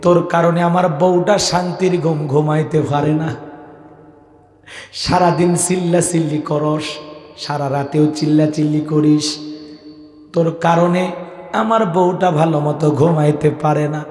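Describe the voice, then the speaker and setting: A man's voice: a little high, steady tone, a little slow, pauses often, a little mellow, faint music behind, voice pushed down, lightly acted. A man voicing a callous grown son in a sermon story, harshly and contemptuously scolding his elderly mother. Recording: echoey hall sound, long reverb.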